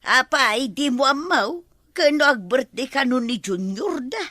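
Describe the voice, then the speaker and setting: A woman's voice: sits high, animated, a little slow, sharp tone, no echo, clean studio sound. A woman with an Ilocano accent playing a disapproving neighbor in a comedy drama. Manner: scolding, disapproving.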